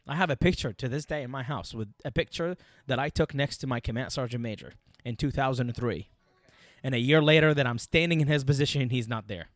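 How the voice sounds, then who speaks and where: A man in his thirties with an Iraqi Arabic accent speaks as an interview guest, at a middling pitch. He tells his story emphatically, repeating phrases for weight. The recording is dry, with very little room sound. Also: no room sound. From Iraq, Baghdad. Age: 30s. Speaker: podcast guest, military veteran. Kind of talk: personal war storytelling in an interview.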